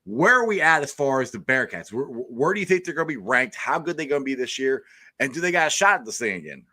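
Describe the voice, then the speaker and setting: Bright-voiced hyped sports show host. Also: male voice, medium pitch, big pitch swings, fast talker. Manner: loud hype interjections. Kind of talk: casual sports chat.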